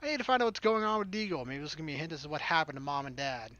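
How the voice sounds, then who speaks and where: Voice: mid-range voice, very fast, bright, forceful tone, highs cut off. A game streamer reading a story aloud as narration, with casual, wry asides. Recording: background music behind the voice. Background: game music.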